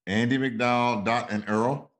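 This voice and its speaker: A woman hosts a casual interview and keeps the guest going with short, encouraging replies. Her voice sits extremely low. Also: steady tone, moderate pace. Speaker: host.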